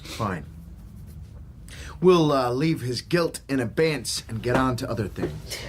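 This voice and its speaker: An actor playing a cool, world-weary government agent in a radio drama, measured, dry and probing. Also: male voice, mid-range voice, big pitch swings, noisy background. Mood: probing, brusque. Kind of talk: naturalistic radio drama acting.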